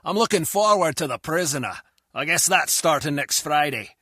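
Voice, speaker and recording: A man's voice: a little high, lively intonation, moderate pace, sharp tone, husky, no room sound, clean studio sound, heavily acted. A man playing a chatty, easygoing henchman guard in a spy video game, speaking with conversational enthusiasm. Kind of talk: video game dialogue acting.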